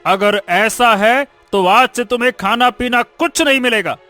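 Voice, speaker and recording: Guy voicing a stern farmer big brother in a cartoon, highish bright voice, lecturing, music under. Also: measured pace, heavily acted. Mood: scolding. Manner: scolding, lecturing. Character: stern, hardworking farmer, scolding older brother.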